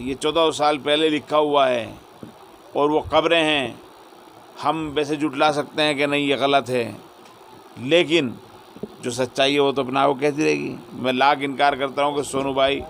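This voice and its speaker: A male cleric answering in an interview, speaking reflectively in short phrases with pauses. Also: medium pitch, a bit bright. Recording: faint vehicle and ambient noise.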